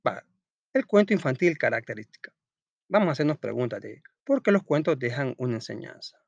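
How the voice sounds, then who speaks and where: A man's voice: medium pitch, very animated, fairly quick, vocal fry, dry recording, lo-fi sound. A man with a Salvadoran accent teaching an informal school lesson, reading aloud and explaining in a chatty, colloquial way, often asking questions and answering them himself.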